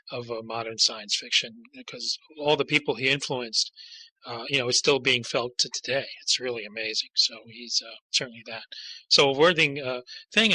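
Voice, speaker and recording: A man hosts a casual podcast interview. His voice is mid-pitched and strikingly bright and sharp, recorded close with a dry sound. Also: no room sound, poor audio.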